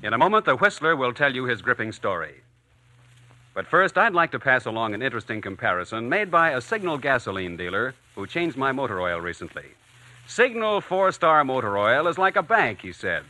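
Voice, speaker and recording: Old time radio announcer guy, 1940s ad pitch, super forceful bright voice, lots of swoop. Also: very animated, a little fast. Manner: polished, emphatic delivery.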